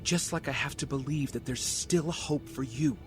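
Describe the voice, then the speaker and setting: An actor playing an earnest, resolute young vigilante hero in an audio drama, speaking emphatically. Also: male voice, medium pitch, normal speed, over music, close to an everyday voice. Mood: resolute, hopeful.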